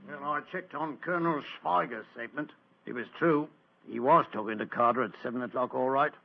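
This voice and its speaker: An actor playing a plodding, deferential police inspector in an old radio drama, plain-spoken. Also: male voice, mid-range voice, fairly quick, gravelly, close to an everyday voice. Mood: doubtful. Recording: dull, hissy old radio recording.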